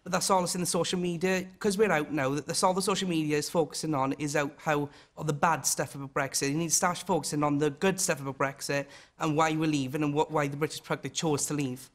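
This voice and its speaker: A man making an unrehearsed political comment from the audience, with repetitive, halting phrasing. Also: a little high, steady tone. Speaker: audience member.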